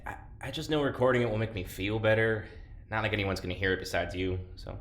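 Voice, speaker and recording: A man playing a weary, wry patient in an audio drama, talking in a hesitant, halting way. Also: lowish voice, fairly bright tone, echoey room. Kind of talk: naturalistic audio drama acting.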